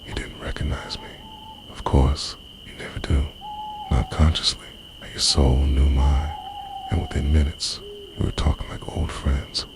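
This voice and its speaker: Man acting as obsessive undying lover, extremely low voice, hugely varied intonation, intimate menace, slow ASMR roleplay. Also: exaggerated voice. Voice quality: very deep, breathy whisper. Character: obsessive immortal body-stealing lover, possessive and menacing.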